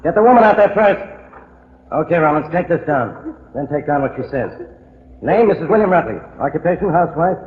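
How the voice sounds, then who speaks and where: A man playing a gruff, brusque police inspector. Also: fairly high pitch, animated, a little fast, lightly acted. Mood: commanding. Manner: brusque, dismissive. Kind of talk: 1940s radio drama acting. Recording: tinny old radio broadcast with room echo.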